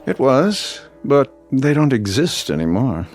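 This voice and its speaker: A self-deprecating poet with a dry, wry way of talking. His pitch moves freely, and soft music plays behind him. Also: big pitch swings, a little slow.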